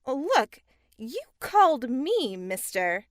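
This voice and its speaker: A woman's voice: high voice, big pitch swings, slow pace, no echo, studio quality, exaggerated voice. A woman playing a blunt, skeptical newspaper reporter in an audio drama. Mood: exasperated, doubting.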